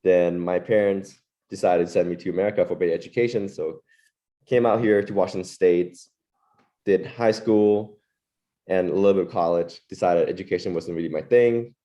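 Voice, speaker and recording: A man's voice: low-pitched, steady tone, moderate pace, warm tone, muffled recording. A young man from Thailand, a wedding photographer, chatting casually as a guest, loose and laid-back with many fillers. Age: young adult. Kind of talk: casual interview chat.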